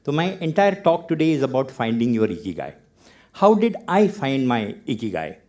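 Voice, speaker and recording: An older Indian man with an Indian accent giving a talk on stage, telling his story with wry humor and dramatic pauses. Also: mid-range voice, sing-song, a little mellow. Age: 50s or older. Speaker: TEDx speaker.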